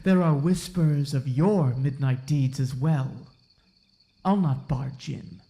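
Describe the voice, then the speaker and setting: An actor playing a young, officious colonial sheriff in a period audio drama, formal and politely official. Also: male voice, mid-range voice, takes it slowly, creaky, warm tone. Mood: stern, formal. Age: young adult.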